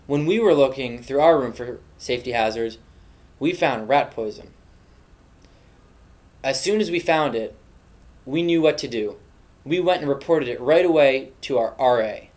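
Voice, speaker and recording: A young man hosting a mock safety video, giving solemn, preachy advice in an earnest scripted narration. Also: fairly high pitch. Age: young adult. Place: dorm room indoors. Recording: echoey room.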